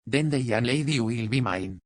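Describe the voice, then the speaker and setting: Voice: medium pitch, moderate pace, no echo, clean studio sound, pitched below the performer's own voice, lightly acted. A narrator voicing a wealthy, boisterous Spanish colonial nobleman, effusive and self-satisfied, in an audiobook. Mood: eager, boastful.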